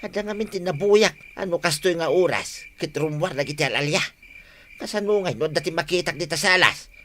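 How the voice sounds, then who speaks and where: A fussy, superstitious Ilocano husband in a radio comedy. His voice is bright and pinched, whiny and exclamatory, tripping over repeats. Faint noise sits behind it. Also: a little high, expressive, brisk. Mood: spooked, pleading. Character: fussy, superstitious, easily spooked husband who distrusts secondhand goods.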